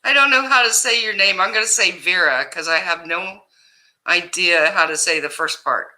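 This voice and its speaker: A woman hosting a casual livestream, greeting viewers by name in a loud voice that carries, chatting and laughing easily. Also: lowish voice, normal speed, low-quality recording. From Ohio, USA. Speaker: livestream host.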